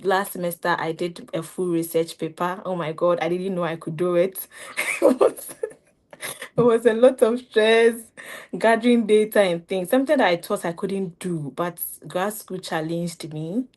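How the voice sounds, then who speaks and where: A young woman from Ghana with a Ghanaian accent, a grad student chatting in an interview, animated and laughing often as she acts out quotes. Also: on the low side, normal speed. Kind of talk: casual interview chat.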